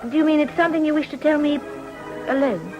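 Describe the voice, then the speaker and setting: Casting: poised young society wife, upper-class English, fairly high and very expressive, formal period-drama delivery. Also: female voice, fast, highs cut off, over music. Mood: wary, probing. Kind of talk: British period drama acting.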